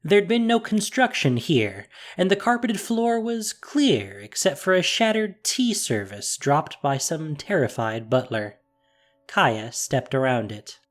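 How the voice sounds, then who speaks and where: A man reading a fantasy story aloud in a measured, expressive way. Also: a little high. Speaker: narrator. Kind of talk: storybook narration.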